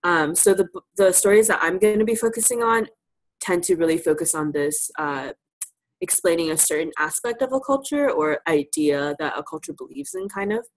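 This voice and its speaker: A woman with a slightly low-pitched voice presents a lesson in an informal way. She invites participation and encourages those she teaches. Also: lively intonation, normal speed, low-quality recording. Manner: encouraging, affirms answers. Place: live virtual presentation.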